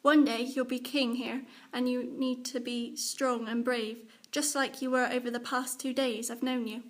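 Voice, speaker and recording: Woman as young storybook girl, high-pitched, soft, earnest, brave and affectionate. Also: flat delivery, normal speed, close to an everyday voice. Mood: tender, resolute. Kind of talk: children's audiobook character acting.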